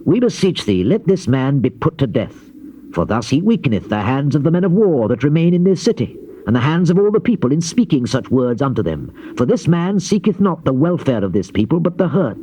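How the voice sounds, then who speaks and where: A man's voice: a little high, big pitch swings, a little fast, dark tone. A man playing a stern royal prince who makes urgent, formal accusations in a biblical audio drama on old tape. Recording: muffled, noisy old cassette tape.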